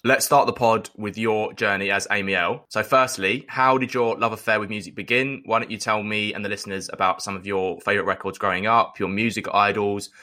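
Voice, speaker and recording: A man's voice: lowish voice, fairly even intonation, fairly quick, few pauses, bright, forceful tone. A man hosting a podcast, putting prepared questions to a guest in a conversational style. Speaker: podcast host, interviewer.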